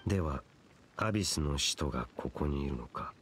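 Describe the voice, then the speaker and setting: An actor playing a stoic, brooding young knight in an anime-style fantasy game, speaking in a solemn, curt and measured way. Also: male voice, extremely low pitch, animated, background noise. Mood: grave, cool. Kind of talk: Japanese anime game voice acting.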